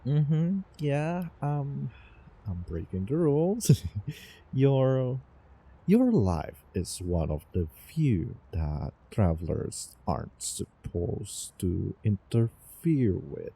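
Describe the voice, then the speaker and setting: Obsessive, adoring time-traveler played by a man for intimate audio drama: very mellow, soft-edged timbre, swooping intonation, hesitant and nervous. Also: mid-range voice, very animated, full character voice. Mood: hesitant, confiding. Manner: halting, nervous, breathy chuckles. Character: doting, obsessive male time-traveling student in love. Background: soft background ambience.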